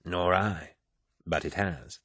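A man voicing a defiant male poet, sardonic and deliberate as he answers back. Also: low voice, fairly bright tone, husky, no echo, full character voice. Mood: calm. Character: defiant, bitter male poet, nervous but sharp-tongued.

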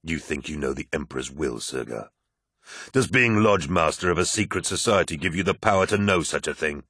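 A man's voice: a bass voice, animated, brisk, a bit bright, slightly rough, no echo, clean studio sound, full character voice. A man voicing a stern, indignant warrior in an audiobook, distrustful and challenging in manner. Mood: scornful. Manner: challenging, scornful questioning.

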